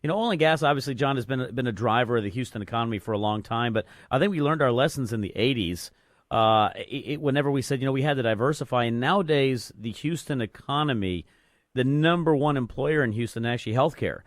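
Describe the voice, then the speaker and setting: A man's voice: mid-range voice, dry recording, clean studio sound. A man hosting a business talk radio interview, chatty and conversational, often restarting his phrases. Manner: rapid, conversational, repeats words when restarting. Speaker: guest radio host.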